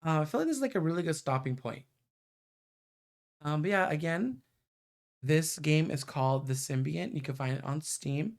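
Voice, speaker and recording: A man's voice: fairly high pitch, lively intonation, normal speed, creaky, pauses often. A man giving casual livestream commentary as a game streamer. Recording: faint game music behind the voice.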